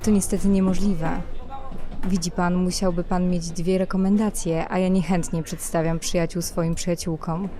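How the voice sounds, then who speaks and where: A woman's voice: fairly low pitch, flat delivery, normal speed, warm tone, lightly acted. An actress playing a poised, flirtatious and possessive society lady, speaking in an elegant, teasing, formal manner in an audio drama. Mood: teasing, assured.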